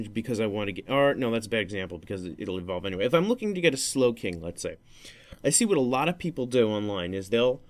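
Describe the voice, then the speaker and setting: Rambling young man, slightly low voice. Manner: rambling, many fillers and self-corrections. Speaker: podcast host. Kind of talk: casual solo talk about a video game.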